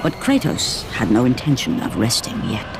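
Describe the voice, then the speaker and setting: A woman giving dramatic scripted narration of a mythic tragedy in a video game, grave, measured and portentous. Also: a bass voice, big pitch swings, gravelly, heavily acted. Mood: solemn. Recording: loud music behind. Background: music.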